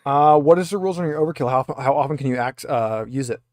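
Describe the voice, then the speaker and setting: A man acting as game master, narrating a tabletop game off the cuff in halting, improvised phrasing. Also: medium pitch, a little mellow.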